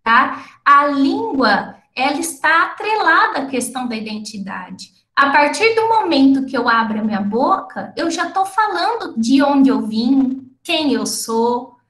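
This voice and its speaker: A woman's voice: high voice, very animated, a little slow, hardly any pauses, fairly bright tone, echoey room. A woman giving an academic lecture to teachers, explaining step by step and often checking in with tag questions. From not from the city of the event. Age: adult with completed schooling. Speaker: lecturer and researcher.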